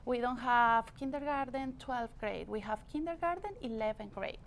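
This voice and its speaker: A woman's voice: fairly high pitch, normal speed, a crowd in the background. A woman in her thirties from Colombia, a native Spanish speaker with a Colombian Spanish accent, patiently explaining things as a guest in an interview with frequent fillers. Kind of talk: casual interview talk.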